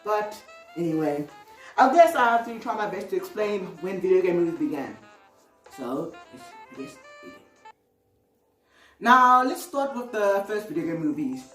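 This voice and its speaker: A woman's voice: mid-range voice, expressive, moderate pace. Roomy, distant woman giving opinionated commentary. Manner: conversational, rambling, exclamatory. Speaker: video host. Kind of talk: casual opinionated video commentary. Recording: roomy, distant mic, music underneath. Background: music.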